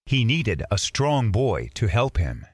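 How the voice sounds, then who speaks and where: Slow, very animated male storybook narrator. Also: lowish voice, no room sound, studio quality. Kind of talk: scripted children's fairytale narration.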